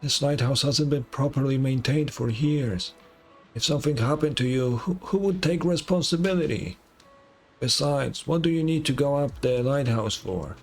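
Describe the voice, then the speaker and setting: A man's voice: medium pitch, normal speed, faint music behind, close to an everyday voice. A man voicing a stern, scolding old lighthouse keeper from a video game. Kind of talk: reading game dialogue aloud.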